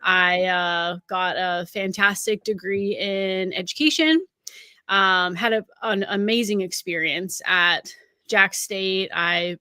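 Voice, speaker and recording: Woman from Canada, thirties, interview guest, upbeat, bright tone, dry close sound. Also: mid-range voice, takes it slowly, clean tone, no echo. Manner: chatty, upbeat, many fillers. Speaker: guest, college recruiting scout. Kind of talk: casual interview conversation.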